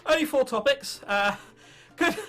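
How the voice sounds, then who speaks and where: A man's voice: very high voice, lively intonation, measured pace, bright, forceful tone. A 19-year-old man with an English accent doing casual, sarcastic game commentary with mock bravado, sometimes reading on-screen text aloud. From England. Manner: sarcastic, self-mocking bravado, casual swearing. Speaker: gaming video host.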